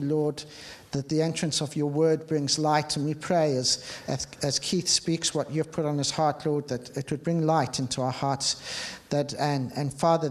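A man in a church introducing a guest speaker and leading a prayer, hesitant with many pauses and fillers. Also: on the high side. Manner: hesitant, many ums and uhs. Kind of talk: announcements and spoken prayer. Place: church hall.

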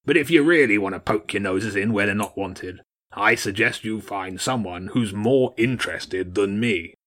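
Man playing a gruff old badger king, forceful and low. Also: normal speed. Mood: weary warning. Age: older. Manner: blunt, scornful, commanding, short barked phrases.

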